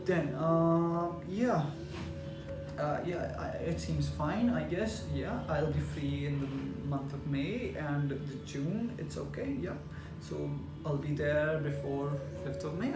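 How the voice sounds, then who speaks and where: A young man from India whose first language is Punjabi, earnestly introducing himself in an application video, with frequent fillers. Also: on the high side. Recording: echoey room with loud music.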